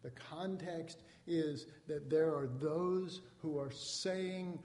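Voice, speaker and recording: Mellow preacher in a reverberant hall. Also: male voice, a little high, slow pace. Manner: conversational, acts out quotes with rising emphasis. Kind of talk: sermon teaching on scripture.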